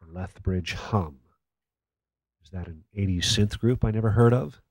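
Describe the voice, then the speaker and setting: Deep-voiced, sardonic radio talk host. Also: male voice, a little mellow, dry recording. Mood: dry, skeptical. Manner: dry, sarcastic, measured. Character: sardonic, skeptical late-night conspiracy radio call-in host. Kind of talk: radio play acting as talk radio.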